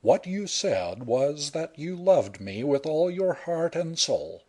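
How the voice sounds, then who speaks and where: A male reader doing a composed young man in a read-aloud story. He speaks slowly with a dry, teasing calm. The tone stays lively in pitch though never hurried. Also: exaggerated voice. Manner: unhurried, dry, wry.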